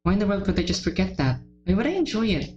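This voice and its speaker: A woman's voice: mid-range voice, big pitch swings, fast, a little mellow, muffled recording, a little hiss or hum, pitched above the performer's own voice, close to an everyday voice. A woman voicing an obsessive, unstable lovesick teenage girl from a horror game in a dramatic reading, swinging between sweet and menacing. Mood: horrified, anguished. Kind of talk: dramatic fan-fiction reading. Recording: roomy echo with faint music behind.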